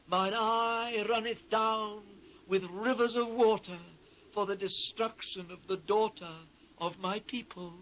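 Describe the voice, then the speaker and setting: High-pitched, anguished British biblical prophet. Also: male voice, steady tone, slow, fairly bright tone, muffled recording. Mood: anguished, pleading. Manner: solemn, declamatory, impassioned. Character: anguished male biblical prophet lamenting his ruined people. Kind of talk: dramatised scripture reading. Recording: faint noise, old cassette transfer.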